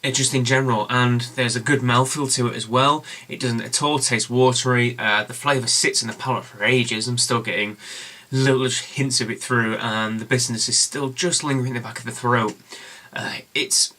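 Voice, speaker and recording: A young Englishman reviewing a beer at home in a casual vlog, enthusiastic and rambling with plenty of fillers, his voice slightly hoarse. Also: mid-range voice, fairly even intonation, moderate pace, sharp tone. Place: home room with bookshelves, indoors.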